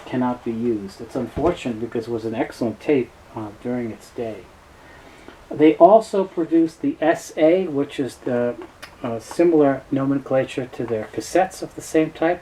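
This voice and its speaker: A middle-aged man giving an informal product overview as a specialist presenter, in halting phrases with frequent uh pauses. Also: medium pitch, dark tone, low-quality recording.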